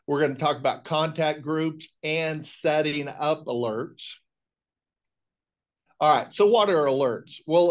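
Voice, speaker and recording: A middle-aged man giving a technical training presentation on a video call, explaining in a measured way. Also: on the high side. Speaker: technical trainer, remote monitoring specialist. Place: indoor room on a video call.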